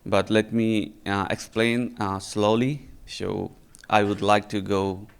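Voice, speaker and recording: A man from Nepal giving a conference lecture in English, speaking slowly and deliberately with frequent fillers and tag questions. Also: lowish voice, steady tone, vocal fry, clean tone. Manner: slow and deliberate, frequent "uh" and "right?". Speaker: researcher giving a talk. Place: conference hall.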